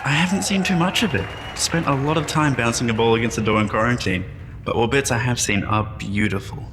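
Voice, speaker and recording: A man's voice: mid-range voice, brisk, background noise, lightly acted. An actor playing a wry, modest man revived from cryostasis, speaking in a reflective, conversational way in a sci-fi audio drama. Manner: self-deprecating, reflective, conversational. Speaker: interviewee at a press appearance. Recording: long hall reverb, music behind.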